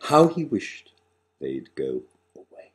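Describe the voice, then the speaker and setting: A man in his sixties, an author reciting his own satirical rhyming verse with dramatic, deliberate emphasis and sudden swells and drops. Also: a bass voice, wildly swooping pitch. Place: small living room, on a sofa, indoors.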